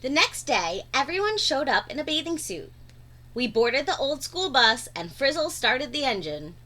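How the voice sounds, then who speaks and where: A woman reads a children's story aloud in a high, bright voice. Her pitch swings widely as she leans on key words with dramatic emphasis. The delivery is that of a teacher reading to young listeners. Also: big pitch swings, moderate pace. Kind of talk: expressive storybook read-aloud.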